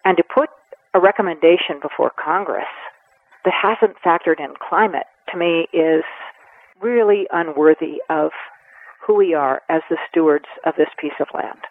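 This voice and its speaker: A woman in her seventies giving a radio interview, talking earnestly and with emphasis, pausing to weigh her words. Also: low voice. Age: 70s. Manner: earnest and emphatic, with measured pauses. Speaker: conservation advocate guest. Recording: tinny phone line, dry.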